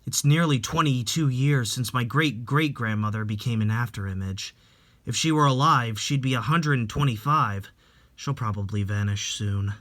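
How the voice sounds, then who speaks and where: A man playing a calm, gentle young married man in a dramatic reading, soft-spoken and reflective. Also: medium pitch, moderate pace, lightly acted. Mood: wistful, explanatory.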